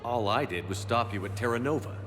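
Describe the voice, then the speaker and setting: An actor playing a steady, resolute military commander in a video game, firm, measured and terse. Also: male voice, mid-range voice, noisy background, close to an everyday voice. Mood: stern. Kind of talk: video game cutscene acting.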